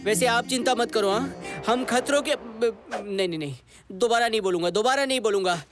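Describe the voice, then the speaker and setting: A man voicing an excitable, comic cartoon hero who chatters and repeats himself in a Hindi dub. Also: high voice, brisk, over music, close to an everyday voice. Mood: chatty, boastful.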